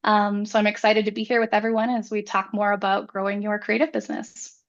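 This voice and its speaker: A woman with a scratchy voice, getting over a cold, introducing herself on a video call. Her audio is dull and muffled, and she hesitates often with soft ums. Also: medium pitch, little pitch movement, fairly quick. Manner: frequent "um" hesitations. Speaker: graphic designer guest.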